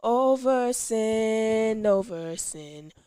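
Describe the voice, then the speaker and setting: A woman singing a devotional gospel song in drawn-out phrases. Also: on the high side, lively intonation, warm tone, clean tone, dry recording, studio quality. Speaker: singer. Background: musical accompaniment.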